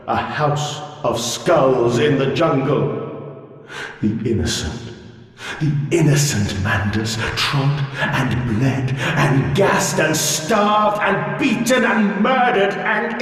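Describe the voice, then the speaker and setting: A man's voice: a little high, slow pace, fairly bright tone. A male actor playing a grandiose, menacing and pleading sentient machine god in a video game, with a theatrical, oratorical delivery that swings from coaxing to ranting. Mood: ominous, fervent. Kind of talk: dramatic video game monologue. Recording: cavernous echoing hall, distant voice.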